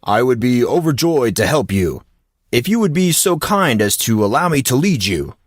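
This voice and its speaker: A man's voice: mid-range voice, very animated, moderate pace, husky, no room sound, clean studio sound, voice pushed up. A man voicing an eccentric, courtly ex-football coach in an audiobook, with formal, flowery and effusively polite phrasing. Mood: overjoyed, courtly.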